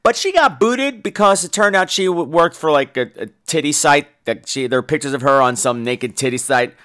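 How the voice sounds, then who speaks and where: A man's voice: a little high, normal speed. A man hosting a podcast, ranting casually and profanely about his day while losing his voice to a coming cold. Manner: profane, exasperated, animated ranting. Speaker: horror podcast host. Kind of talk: casual solo podcast rant.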